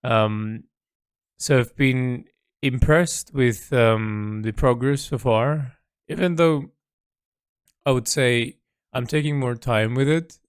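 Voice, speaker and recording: A man who is an artist, recording a reflective, unscripted audio diary in a halting manner, repeating words as he thinks aloud. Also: mid-range voice, smooth, no echo, studio quality. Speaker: artist keeping an audio log.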